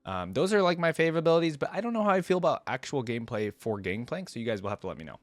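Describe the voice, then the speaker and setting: A man's voice: medium pitch, sing-song, rapid-fire, no room sound. A man giving casual reaction commentary as a host, conversational and thinking aloud.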